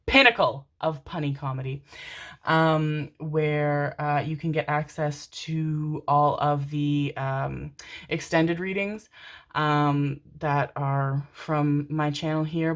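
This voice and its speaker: A young woman doing a casual tarot reading to camera, chatty and rambling, full of fillers and affectionate pet names. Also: low voice. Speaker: tarot reader.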